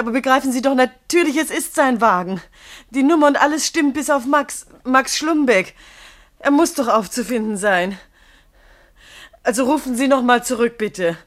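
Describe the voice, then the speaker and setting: A woman's voice: a little high, no echo, studio quality, natural voice. An actress with speech playing a bitter, jealous young petrol station woman in a radio play, agitated and theatrical. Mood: frantic. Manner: agitated, theatrical, swinging from muttering to sharp. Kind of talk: German radio play acting.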